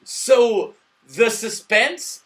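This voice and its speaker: A drunk man co-hosting a casual, sweary movie review, excitable and given to shouting. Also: very high-pitched, drawn-out words.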